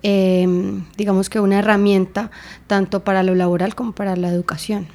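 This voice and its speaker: A young woman from Colombia speaks with a Colombian Spanish accent. Her voice sits in the middle range, and she talks in a thoughtful, unhurried way. She often pauses to find her words while answering. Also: mid-range voice, flat delivery, dark tone. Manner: thoughtful, with many fillers like "eh" and "digamos". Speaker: guest. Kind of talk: interview answers.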